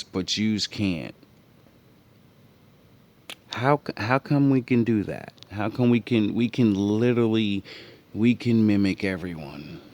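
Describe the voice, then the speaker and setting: Casting: man, lowish voice, sardonic reaction-video commentator, swears freely. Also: a little mellow. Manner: sarcastic, profane, mocking asides.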